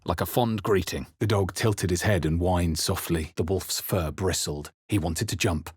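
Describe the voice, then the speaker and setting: A man playing a grave, introspective adventurer hero in a fantasy video game, speaking in a measured, dramatic way. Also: deep voice, breathy edge, no echo, clean studio sound. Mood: grave, brooding. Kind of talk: scripted video game narration and character lines.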